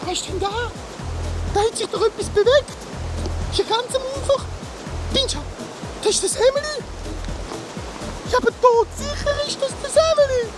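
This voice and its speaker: An actor playing an excitable, shrill villain on the hunt in a Swiss German children's radio play. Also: moderate pace, long pauses, music in the background. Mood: gloating, excited.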